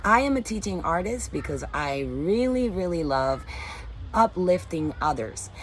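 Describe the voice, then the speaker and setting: Earnest woman talking low outdoors. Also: slight background noise, rough recording. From Dominican Republic. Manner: earnest, upbeat and heartfelt. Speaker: teaching artist. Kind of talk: personal statement to camera. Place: backyard under a canopy, outdoors.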